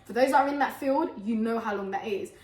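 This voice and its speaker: A young woman from Colombia, loud and hyper, co-hosts a casual chat and laughs through her points. Her voice sits a touch high. The room around her rings with echo. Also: quick pace. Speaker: podcast co-host.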